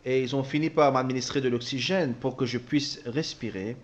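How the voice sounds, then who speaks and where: A man interpreting a personal testimony, speaking haltingly with repeated words and self-corrections. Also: mid-range voice, muffled recording. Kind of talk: spoken translation of a personal testimony.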